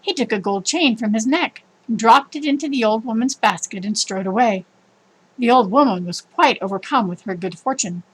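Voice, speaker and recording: A woman narrating a children's story in an expressive, emphatic storytelling manner. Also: a little high, moderate pace, breathy edge, poor audio. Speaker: storybook reader.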